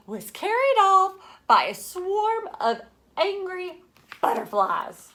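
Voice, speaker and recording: Lady doing worked-up little school kids from a picture book, high voice, big exclamations. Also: very animated, slow pace, fairly bright tone, full character voice. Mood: wild guessing. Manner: gasping, exaggerated exclamations. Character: excitable, anxious schoolchildren guessing and exclaiming.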